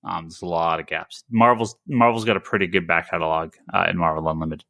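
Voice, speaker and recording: Man, low voice, bright and forceful, dry close sound, casual podcast host. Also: fairly even intonation, fast, vocal fry, dry recording. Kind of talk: casual comics news discussion.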